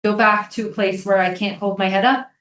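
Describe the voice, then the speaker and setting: A woman's voice: mid-range voice, steady tone, brisk, a bit bright, close to an everyday voice. A woman in a staged play reading, acting a haunted, devoted mother. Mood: pained. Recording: echoey room.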